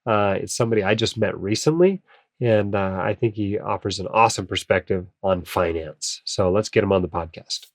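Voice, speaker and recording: A man's voice: on the low side, normal speed, no echo, clean studio sound. A male podcast host with a cold that makes him sound a bit nasal, chatting in an upbeat, casual way with frequent "uh" fillers. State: has a cold, slightly nasal. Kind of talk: casual podcast monologue and interview intro.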